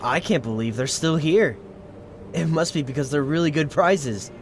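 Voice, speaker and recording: A man playing a laid-back but excitable, slangy teenage boy in a comic dub. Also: fairly high pitch, moderate pace, soft background music. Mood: impressed. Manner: slangy, enthusiastic.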